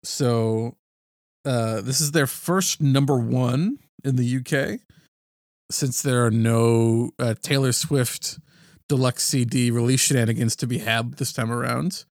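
A man's voice: mid-range voice, fairly even intonation, measured pace, darkish tone, no room sound, clean studio sound. Man co-hosting, full of filler words. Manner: hesitant, lots of fillers like "uh" and "like". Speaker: podcast co-host. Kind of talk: casual music podcast chat.

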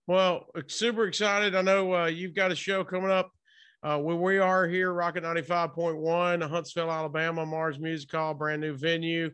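A man's voice: on the high side, flat delivery, moderate pace, fairly bright tone, clear, resonant tone. A man hosting a podcast interview in a casual, enthusiastic, informal way. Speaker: podcast and radio host interviewer. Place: hotel room, remote call.

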